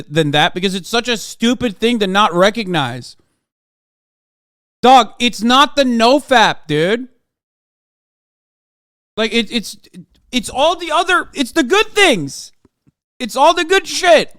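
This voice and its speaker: A man's voice: extremely high pitch, animated, measured pace, long pauses, bright, forceful tone, smooth, dry recording, clean studio sound. A man in his thirties ranting in casual reaction commentary, profane and exasperated. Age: 30s. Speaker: streamer reacting to clips.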